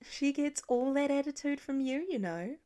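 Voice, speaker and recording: A woman's voice: high voice, expressive, moderate pace, warm tone, voice pushed up. A woman voicing a loving, practical mother in a storybook reading, soothing and matter-of-fact. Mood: wry.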